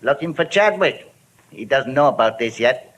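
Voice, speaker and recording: A man's voice: mid-range voice, expressive, normal speed, a bit bright, highs cut off, close to an everyday voice. A man with an American accent playing a shrewd, shady private detective in an old radio crime drama, blunt and sly. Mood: cool, bargaining. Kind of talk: 1940s-50s radio detective acting. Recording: noisy old radio broadcast recording.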